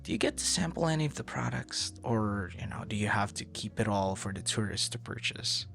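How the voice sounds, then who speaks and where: A man's voice: lowish voice, animated, fairly quick, creaky, a bit bright, soft background music. A man performing an audio roleplay as a friendly, easily flustered young traveler chatting intimately and casually with a stranger, teasing and stammering playfully. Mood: curious, admiring. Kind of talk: intimate boyfriend-style ASMR roleplay, casual conversation.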